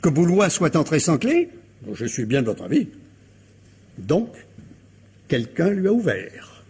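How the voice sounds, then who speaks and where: An actor playing a shrewd, insistent police commissioner who questions a suspect with courteous, insinuating pressure, in a French radio crime drama. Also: male voice, fairly high pitch, sing-song, a little slow, darkish tone, slightly rough, heavily acted. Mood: grave, accusing.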